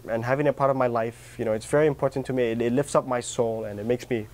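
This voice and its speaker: A man's voice: medium pitch, fairly even intonation, fast, a little mellow. Earnest young man from Hawaii. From Kauai, Hawaii. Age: young adult. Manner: earnest, sincere, with frequent fillers and restarts. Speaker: interviewee. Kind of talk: reflective personal interview. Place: outdoor patio with garden.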